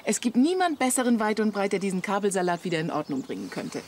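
An actress playing a middle-aged wife and mother, sharp-tongued yet fond, in a German radio play. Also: medium pitch, big pitch swings, fast talker, slight background noise, lightly acted. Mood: confident.

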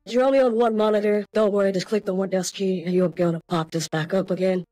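A man's voice: high-pitched, steady tone, fast talker, mellow, no room sound, pitched above the performer's own voice, poor audio. A man voicing a character through an AI voice changer, giving step-by-step setup instructions. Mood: instructional. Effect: real-time AI voice changer. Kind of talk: software tutorial.

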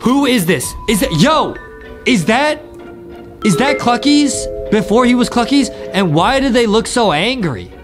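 High-voiced excited young male gaming host. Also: a little slow. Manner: repeats phrases eagerly, exclaiming. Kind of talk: excited gameplay commentary. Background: faint game music.

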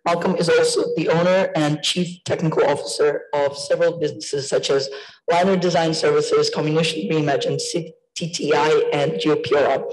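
A woman with a croaky voice, formally introducing a guest speaker as seminar co-chair in a lecture theatre. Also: deep voice, background noise.

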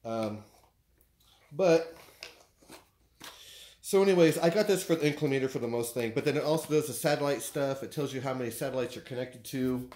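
A man with an American accent chatting casually and haltingly, thinking aloud as he shows off purchases in a living room. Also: medium pitch, darkish tone, a little hiss or hum. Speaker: vlogger showing purchases. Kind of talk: casual unboxing chat.

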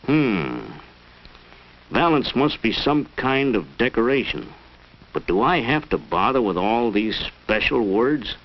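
A man's voice: medium pitch, big pitch swings, unhurried. An actor playing a flustered, plain-spoken middle-aged American family man in a 1940s educational film, with a muffled period soundtrack. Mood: musing.

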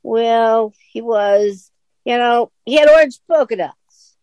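A woman's voice: on the high side, slow pace, darkish tone, no echo. A woman acting a flippant, offhand male knave, a petty thief in a comic radio drama. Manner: flippant, casual.

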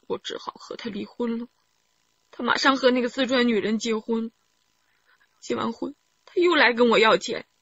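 A woman's voice: on the high side, gravelly, a bit bright, breathy edge, dull audio, exaggerated voice. A woman playing a simple, distraught wronged wife in a radio drama, plaintive and emotional. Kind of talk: Chinese radio drama acting.

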